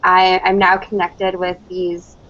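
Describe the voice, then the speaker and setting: A woman's voice: lowish voice, completely flat delivery, takes it slowly, fairly bright tone, a little hiss or hum. A woman in her early twenties chatting casually as a caller on a talk show. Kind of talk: casual phone-in chat. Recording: dull, lo-fi internet call.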